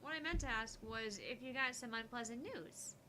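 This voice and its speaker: Visual novel part, female reader: casual young woman who dodges questions, bright, high voice, faint noise behind. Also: normal speed. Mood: evasive. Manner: casual, teasing, hesitant when evasive. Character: breezy, evasive young woman shop worker.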